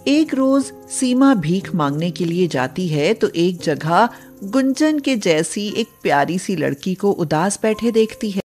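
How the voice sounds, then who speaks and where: Female storyteller, mid-pitched, unhurried scripted bedtime narration over soft music. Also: big pitch swings.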